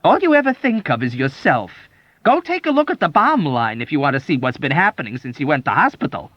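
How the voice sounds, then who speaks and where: This is a high, whiny army doctor who pities himself endlessly, voiced by a male audiobook reader. His complaints lurch all over the pitch range in a plaintive, wailing tone. The recording is dry and a little muffled. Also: wildly swooping pitch, slow, dry recording, heavily acted. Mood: aggrieved. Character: whining self-pitying male army doctor.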